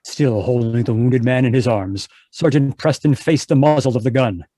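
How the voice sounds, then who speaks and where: A man's voice: medium pitch, normal speed, dark tone, dry recording, natural voice. Grave, suspenseful radio narrator, played by a man. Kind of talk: dramatic old-time radio narration.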